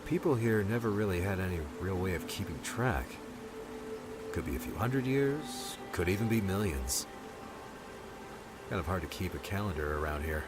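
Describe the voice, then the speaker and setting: A man's voice: sits low, sing-song, moderate pace, over music. A man playing a laid-back, protective rescuer with dry humor in an audiobook. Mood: explaining, wry. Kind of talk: audiobook character dialogue.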